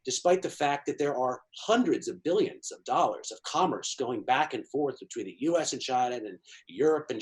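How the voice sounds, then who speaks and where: Man explaining at length, fluent and emphatic. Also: medium pitch. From Washington, D.C., USA. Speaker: guest, China economy analyst. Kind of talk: interview answers.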